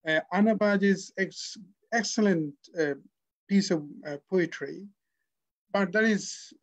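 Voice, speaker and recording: A man in his forties hosting a poetry session, effusive in his praise and halting, with many fillers. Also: sits high, lo-fi sound. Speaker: host. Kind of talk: interview hosting. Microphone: video call.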